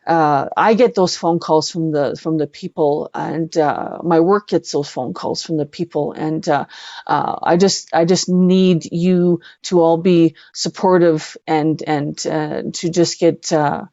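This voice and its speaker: A woman with a Canadian accent and a fairly low voice speaks casually and earnestly as an interview guest. She halts and repeats words for emphasis. The sound is dry and somewhat dull, like lo-fi online audio. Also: fairly even intonation, a little slow, warm tone, no room sound. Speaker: guest, singer-songwriter. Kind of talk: casual live conversation.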